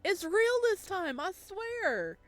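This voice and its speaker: A woman voicing a drunk, combative young male college student in a visual novel, loud and slangy. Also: extremely high pitch, sing-song, takes it slowly, dry recording, pitched above the performer's own voice, exaggerated voice. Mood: agitated.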